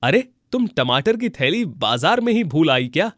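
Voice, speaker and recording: A man's voice: a little high, very animated, moderate pace, fairly bright tone, dry recording, studio quality. A man playing a village fritter seller and husband in a children's story, talking expressively. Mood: dismayed.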